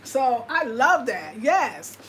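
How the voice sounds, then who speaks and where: Chatty woman in her fifties, high voice. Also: sing-song, slow pace, a bit bright, a little hiss or hum, poor audio. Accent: American. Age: 50s. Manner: chatty, confiding, lots of fillers. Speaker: lifestyle vlogger. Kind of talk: casual livestream chat.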